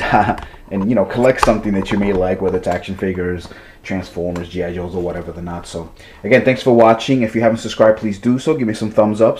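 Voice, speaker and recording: A man's voice: fairly low pitch, a little mellow, a little hiss or hum. A man with a stuffy nose showing his toy car collection at home in a rambling, chatty way, full of fillers and restarts. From Massachusetts, USA. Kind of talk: casual vlog.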